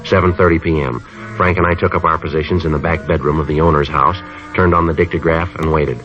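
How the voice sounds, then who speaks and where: A businesslike detective from an old radio police show. His voice is very low and brisk, terse and matter-of-fact, with the dull sound of a vintage broadcast. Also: fairly even intonation, music in the background. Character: calm, businesslike male police detective narrating a case. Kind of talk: 1950s radio police drama acting and narration.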